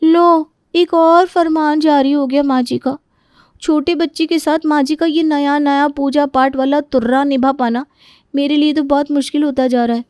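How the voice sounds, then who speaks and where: Young daughter-in-law woman acting, very high voice, sarcastic, grumbling, outspoken, moral story. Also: steady tone, normal speed, darkish tone, no echo, clean studio sound, natural voice. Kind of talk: acted dialogue in a moral story.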